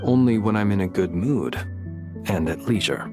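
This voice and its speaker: Low-voiced, courteous gentleman. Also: animated, moderate pace, music in the background. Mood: wry. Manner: polite, dry, understated. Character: courteous, composed gentleman, wry and unruffled. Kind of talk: video game cutscene dialogue.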